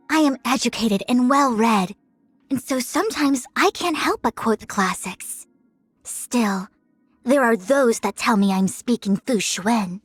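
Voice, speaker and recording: A woman's voice: on the high side, husky, dry recording. A woman playing a proud young female diviner in a video game, speaking in composed, formal, lofty phrases. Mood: brisk, lighter, then matter-of-fact. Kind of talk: scripted game character voice lines. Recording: studio-dry voice over music. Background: music.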